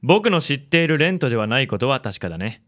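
A man playing a suave, breezy gentleman. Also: medium pitch, sing-song, fast. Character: smooth, suave handsome businessman.

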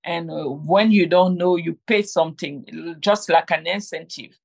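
Gravelly woman, hesitant. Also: fairly low pitch, unhurried. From Cameroon. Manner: hesitant, many fillers and restarts. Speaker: guest, game inventor. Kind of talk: conversational interview.

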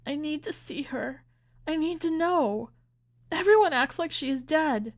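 A woman's voice: sits high, animated, unhurried, pitched above the performer's own voice. A woman voicing an anguished, furious immigrant mother in an audiobook, pleading and insistent through her grief. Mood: pleading, bitter. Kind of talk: dramatic audiobook character reading.

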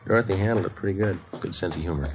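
An actor playing a terse, matter-of-fact police detective in a 1950s radio drama, clipped and deadpan. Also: male voice, deep voice, rapid-fire, noisy background, close to an everyday voice. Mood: casual. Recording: dull old radio recording with music.

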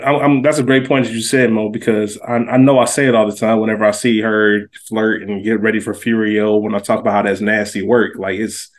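A man's voice: on the low side, level tone, a little fast, few pauses, rough recording. An American man on a casual podcast panel, opinionated, speaking in heavy slang with frequent swearing. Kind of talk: casual TV show discussion.

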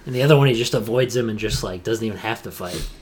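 Slangy young man bantering excitedly. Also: fairly low pitch, fast, a little hiss or hum. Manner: slangy, excitable fan talk with mimicked quotes. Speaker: podcast co-host. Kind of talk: casual banter about video games.

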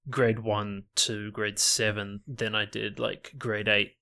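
A man's voice: lowish voice, flat delivery, slow, gravelly, pushed and bright. A man from New Zealand, a guest chatting casually about himself, laughing often and restarting his sentences. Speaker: podcast guest, online gamer. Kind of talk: casual chat.

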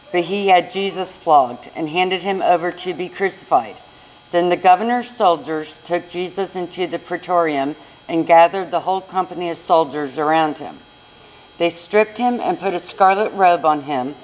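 A woman's voice: on the low side, slight background noise, low-quality recording. An American woman reading a scripture narrative aloud as narrator in a live church recording. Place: church service.

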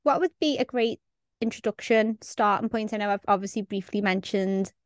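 Smooth-voiced woman podcast host. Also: mid-range voice, normal speed, no room sound, studio quality. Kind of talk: podcast intro and interview.

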